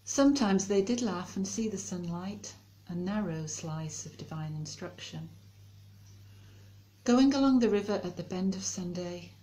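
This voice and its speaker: Slow, low-pitched woman reading poetry. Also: animated, mellow, low-quality recording. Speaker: poetry reader. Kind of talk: slow, measured poetry recital. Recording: faint background noise and ambience.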